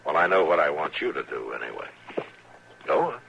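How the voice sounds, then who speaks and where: An American actor playing a stern, terse frontier marshal in a 1950s western radio drama. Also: male voice, rumbling low, animated, a little fast, creaky, full character voice. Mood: firm, impatient. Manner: terse, commanding, dry. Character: stern, laconic frontier US marshal in his prime. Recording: noisy, dull old radio recording.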